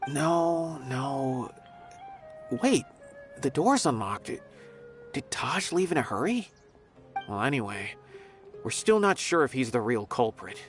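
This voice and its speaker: Earnest scholar, mid-pitched, expressive, careful, game voice acting. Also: male voice, slow, long pauses, faint music behind. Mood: thoughtful. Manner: careful, reasoning aloud. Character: earnest thoughtful male scholar investigator.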